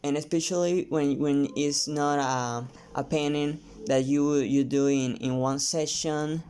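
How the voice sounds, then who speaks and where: A painter from Mexico with a Mexican Spanish accent, his first language Spanish, talking casually and haltingly to camera with many restarts. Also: a little high, steady tone, darkish tone. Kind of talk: casual vlog talk while painting.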